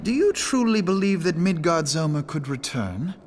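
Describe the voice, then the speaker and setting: Young noble scholar, game, fairly high, animated, precise, pointed, earnest. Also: male voice, moderate pace, soft background music. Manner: precise, pointed, lively questioning.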